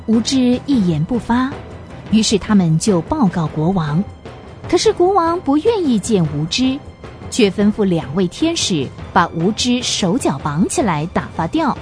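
A woman's voice: mid-range voice, big pitch swings, unhurried, a little mellow, faint music behind. A woman narrating a radio drama as a storyteller, reading from a script.

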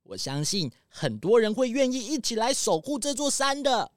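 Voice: medium pitch, very animated, moderate pace, no echo, clean studio sound, close to an everyday voice. An actor playing a keen, sincere pangolin in a children's audio drama. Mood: earnest. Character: keen, sincere pangolin tourist who loves nature.

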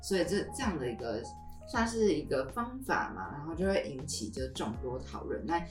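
Podcast co-host: young woman, low voice, lively intonation, casual, roomy and slightly distant. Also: fairly quick, soft background music. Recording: roomy, echoey, off the mic.

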